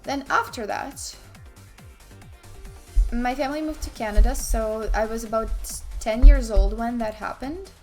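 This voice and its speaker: A woman's voice: on the high side, a little slow, darkish tone. Chatty woman streamer, faint music. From Ukraine. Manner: chatty, restarts sentences, asides to herself mid-story. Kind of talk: casual chat while playing chess.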